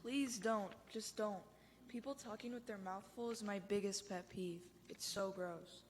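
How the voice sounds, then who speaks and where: A woman's voice: mid-range voice, unhurried, a little hiss or hum, close to an everyday voice. Young woman as skeptical teen girl, echoey hall. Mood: disgusted. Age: teenager or young adult. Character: skeptical, sarcastic teenage girl, easily grossed out. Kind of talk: church reader's theater.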